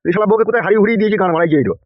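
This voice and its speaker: A man's voice: sits high, racing, dry recording, close to an everyday voice. A man voicing a boastful, threatening corrupt politician in a comic cartoon. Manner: threatening, swaggering. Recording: dull lo-fi sound.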